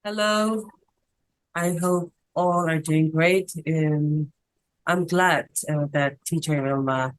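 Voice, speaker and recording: Low-voiced woman, formal and polished. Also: no echo, poor audio. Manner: formal, polished self-introduction. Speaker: teacher and research coordinator.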